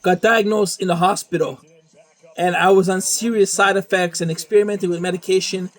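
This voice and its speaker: A young man talks in a loose, rambling monologue, swearing freely and circling back over the same phrases. His voice sits a little high for a man. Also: fairly even intonation, a little fast. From Canada. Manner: profane, rambling, repeats himself. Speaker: vlogger. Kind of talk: casual monologue.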